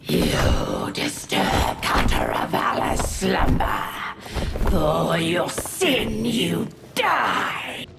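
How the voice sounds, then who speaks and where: A woman's voice: low voice, wildly swooping pitch, drawn-out words, creaky, exaggerated voice. A woman voicing a wrathful ancient demon woman, menacing and imperious, in a video game. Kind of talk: video game villain acting. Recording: echoing voice under very loud music.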